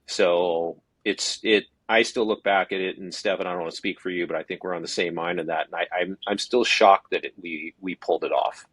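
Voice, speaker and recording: A man with a lowish, husky voice talks through his experience in a relaxed, unhurried way. His thoughts wander and he restarts sentences as he goes. The sound is dry and close, with no room around it. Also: dry recording. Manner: thoughtful, rambling with frequent restarts. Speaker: podcast guest, open water swim organizer. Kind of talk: casual interview chat.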